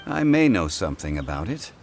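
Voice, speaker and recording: A man voicing a calm, dignified, learned gentleman in a video game, speaking in a measured, formal way. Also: sits low, vocal fry, slight background noise, heavily acted. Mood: composed, knowing.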